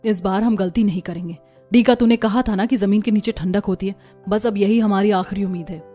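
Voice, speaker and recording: A woman's voice: mid-range voice, fairly even intonation, fairly quick, dark tone, soft background music, lightly acted. A woman voicing a worried, earnest young village daughter-in-law in a cartoon, anxious and pleading.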